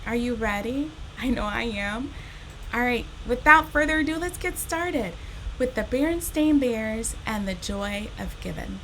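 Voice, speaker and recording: Bright-voiced, unhurried woman reading to children. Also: fairly high pitch, a little hiss or hum, poor audio. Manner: addresses young listeners directly, unhurried and animated. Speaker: storytime host reading a children's picture book. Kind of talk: expressive children's story reading.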